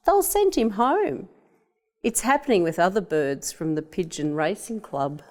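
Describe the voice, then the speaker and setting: A woman's voice: mid-range voice, big pitch swings, measured pace, a little mellow. A woman voicing a kindly, proud Australian father in a children's storybook. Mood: reassuring.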